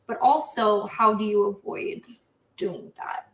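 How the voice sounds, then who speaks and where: A woman's voice: mid-range voice, slow, fairly bright tone, echoey room, rough recording. A woman from the US Midwest hosting a live stream, chatting casually and laughing often as she talks. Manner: giggly, talks through laughs. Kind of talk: casual chat.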